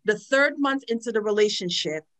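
A woman's voice: mid-range voice, brisk. Frank woman from Trinidad telling her story. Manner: frank, earnest storytelling with animated quoted asides. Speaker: guest, domestic violence advocate. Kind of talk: personal testimony in a podcast interview.

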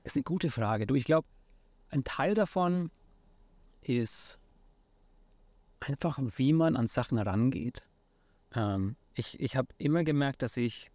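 A man's voice: mid-range voice, a little mellow, no echo. Franconian guy in his 30s chatting on a podcast, lots of ums, very dry sound. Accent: Franconian German. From Franconia, near Nuremberg, Germany. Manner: hesitant, many fillers and restarts, mixes in English words. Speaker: startup founder guest. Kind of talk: casual interview talk.

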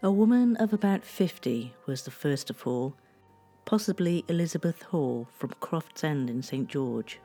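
A woman hosting a history podcast, telling past events in a measured, scripted storytelling delivery. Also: low voice, expressive, dark tone, soft background music.

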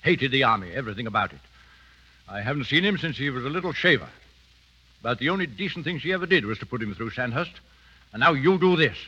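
A stern older British colonel in an old radio play. He speaks curtly and with command in a bright, forceful voice that moves up and down, slightly dulled by the recording. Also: medium pitch, expressive, fast talker, husky. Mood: indignant, bitter. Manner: clipped, curt, commanding. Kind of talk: 1930s radio drama acting. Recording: dull vintage 1930s radio recording.

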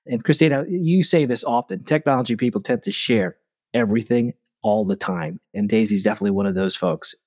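A man's voice: lowish voice, a little fast, a little mellow. A man giving a friendly, anecdotal testimonial about a colleague. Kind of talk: casual recollection.

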